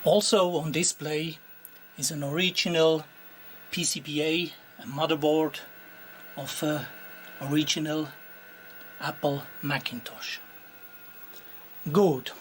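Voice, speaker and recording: A Swiss man with a Swiss German accent, a native Swiss German speaker, showing his retro computer collection in a slow, deliberate, pausing way. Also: a little high, little pitch movement, slight background noise. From Switzerland. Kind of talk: show-and-tell narration.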